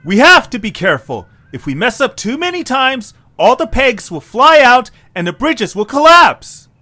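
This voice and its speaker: A man's voice: very high voice, sing-song, moderate pace, sharp tone, a little hiss or hum, full character voice. A man playing a cautious, skeptical young cartoon creature in an amateur audio drama, with a sardonic, questioning manner. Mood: nervous, cautious.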